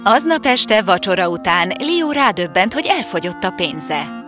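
A woman reading scripted narration for an animated fairy tale, with an expressive storytelling lilt. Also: mid-range voice, music in the background.

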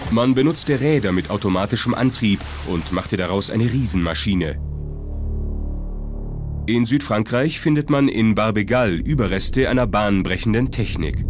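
A man delivering scripted documentary narration. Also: lowish voice. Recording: prominent music bed under voice. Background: music.

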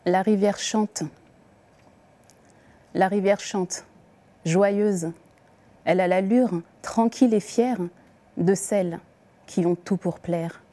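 A woman reciting poems as the poet herself, with deliberate, expressive phrasing and pauses. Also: mid-range voice. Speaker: poet reading her own poems. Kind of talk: poetry recitation.

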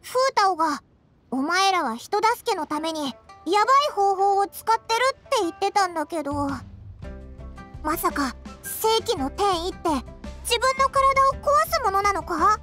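A woman's voice: extremely high pitch, animated, normal speed, pushed and bright, soft background music, exaggerated voice. A woman voicing a tiny, cheeky childlike fairy companion in a Japanese video game, blunt and casual. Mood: curious, worried.

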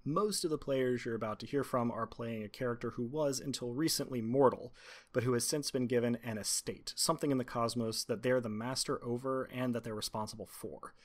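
A man speaking as game master and host, introducing and narrating a tabletop game in an articulate, measured, prepared way. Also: mid-range voice.

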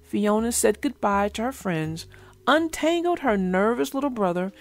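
A woman narrating a storybook for children in an animated, expressive way. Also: fairly high pitch, moderate pace, soft background music. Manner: expressive, addressing listeners directly. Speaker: children's read-aloud narrator.

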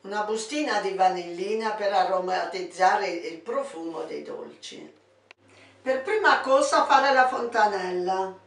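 A woman's voice: medium pitch, a bit bright. An older woman with an Abruzzo Italian accent, a home cook explaining a recipe step by step in her kitchen. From Italy. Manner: slow step-by-step instructions. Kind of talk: cooking demonstration. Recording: echoey kitchen, distant mic.

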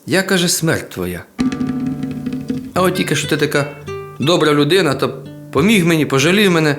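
Death speaks as a small, stooped old woman of folk tale, acted out by a male storyteller. The manner is colloquial, confident and matter-of-fact. Guitar music plays clearly underneath. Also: fairly high pitch, lively intonation, a little fast, close to an everyday voice. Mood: solemn, sparing.

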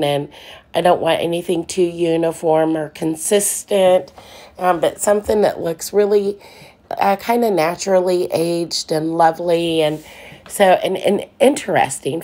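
A middle-aged woman narrating her furniture painting in an unhurried, thoughtful way, with frequent ums and restarts. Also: lowish voice, a little mellow. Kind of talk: relaxed voice-over narration.

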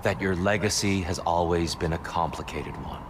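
An actor playing a wise warrior god in a video game, speaking in a measured, articulate, philosophical way. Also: male voice, low-pitched, steady tone, noisy background, close to an everyday voice. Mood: calm counsel. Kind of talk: dramatic game acting.

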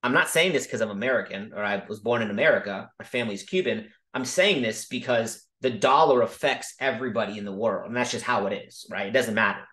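A man casually teaching about markets over a video call, talking quickly and emphatically and often checking in with "okay?". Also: fairly low pitch, a bit bright. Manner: fast, emphatic, frequent "okay?" check-ins. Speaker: crypto educator hosting a Zoom call. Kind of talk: casual screen-share teaching.

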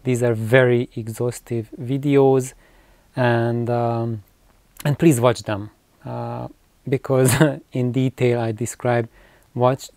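A man in his forties explaining things to camera in an unscripted vlog, with halting phrasing and repeated words. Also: medium pitch, little pitch movement, gravelly, warm tone, no echo. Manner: halting, hesitant phrasing with repetitions. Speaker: video host. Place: small cluttered room with shelves of records, indoors.

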